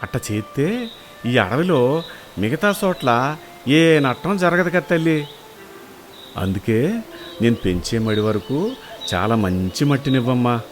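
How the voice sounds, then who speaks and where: A man's voice: mid-range voice, very animated, unhurried, long pauses, soft background music. A man playing a humble, good-hearted village woodcutter in a children's moral story, with a rustic, colloquial Telugu accent and an earnest, respectful manner. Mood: humble pleading. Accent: rural Telugu. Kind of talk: animated moral story acting.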